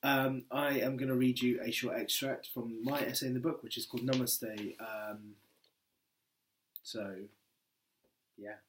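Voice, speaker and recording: A man's voice: mid-range voice, fairly even intonation, unhurried, pauses often, fairly bright tone, a little hiss or hum, low-quality recording. A man reading a personal essay aloud in a wry, conversational way, leaning on key words for emphasis. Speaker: book editor and essayist reading his work.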